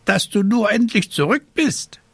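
A man voicing a fussy, scolding talking raven in a croaky, indignant storybook performance. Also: sits high, lively intonation, unhurried, dry recording, voice pushed up, full character voice. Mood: relieved, impatient. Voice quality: croaky, squawking. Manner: indignant, nagging.

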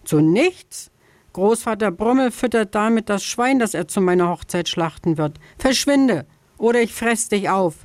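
A proud straw scarecrow who guards a melon patch barks out gruff, boastful lines, as a woman reader puts him on. The voice sits a little raised, and the recording is dry and close, like an old children's radio story. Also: mid-range voice, expressive, a little slow, darkish tone, no echo, voice pushed up, lightly acted. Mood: stern.

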